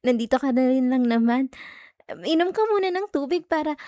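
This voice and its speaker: A woman's voice: high voice, expressive, moderate pace, warm tone, no echo, studio quality. A woman with a Filipino accent playing an obsessive, possessive stalker in an intimate ASMR roleplay, coy, teasing and sweetly menacing. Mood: coaxing, possessive. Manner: coy, teasing, giggly, lingering over words.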